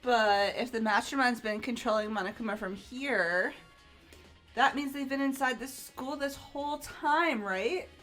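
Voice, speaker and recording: A woman voicing an energetic, naive high school girl in a video game fan dub, bubbly and full of exclamations. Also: unhurried, soft background music. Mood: concerned.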